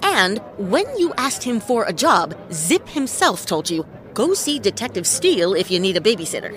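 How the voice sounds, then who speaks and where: A woman's voice: mid-range voice, big pitch swings, moderate pace, a bit bright, soft background music. A cocky, swooping female detective. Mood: wry, assured. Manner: theatrical, wry, self-satisfied. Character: cocky, quick-witted female detective mentoring a rookie. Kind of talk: comic noir audio drama acting.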